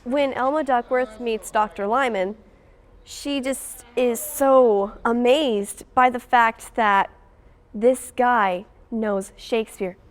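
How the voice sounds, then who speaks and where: A woman's voice: fairly high pitch, steady tone, slow. A teenage female student actress chatting expressively about her character in an interview. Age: teens. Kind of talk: casual interview.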